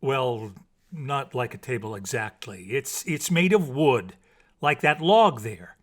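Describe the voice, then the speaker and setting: A man playing a hunter in a storybook, a patient teacher explaining things in clear, divided tones. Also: mid-range voice, expressive, slow pace, a bit bright.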